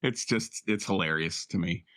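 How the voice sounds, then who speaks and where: A man's voice: deep voice, moderate pace, fairly bright tone, no room sound. A man playing a tabletop roleplaying game in casual, sardonic, exasperated banter with some swearing. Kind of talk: casual banter and in-character chat.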